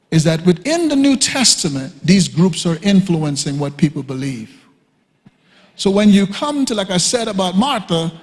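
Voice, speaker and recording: A man teaching a sermon at a conference, emphatic and repetitive, often leaping high to act out quoted speakers. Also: gravelly. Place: church hall. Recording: reverberant hall.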